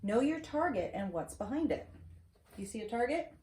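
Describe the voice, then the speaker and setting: Storyteller: middle-aged woman, soft-edged mellow tone, encouraging and chatty read-aloud for kids, roomy sound. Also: on the high side, big pitch swings, moderate pace. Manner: encouraging, asks questions and checks understanding with "right?". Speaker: storyteller reading to grandchild. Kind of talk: children's picture-book read-aloud with chatty asides. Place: wood-shelved home study, indoors. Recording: roomy echo, faint noise, clicks.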